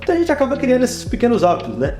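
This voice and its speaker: A man's voice: extremely high pitch, wildly swooping pitch, racing. A man with a Brazilian Portuguese accent giving talkative, explanatory interview answers, often adding tag questions. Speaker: interview guest, photographer and production director. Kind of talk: conversational interview answers with personal anecdotes. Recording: roomy echo, guitar music behind. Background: guitar music.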